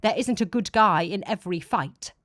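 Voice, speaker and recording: A woman's voice: mid-range voice, moderate pace, a bit bright, dry recording, lightly acted. A smug male vampire professor, played by a woman in an audiobook story. He is cheeky and flippant, tossing out remarks as if nothing matters. The recording is dry and close. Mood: cool, challenging. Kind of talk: dramatic audiobook character voice.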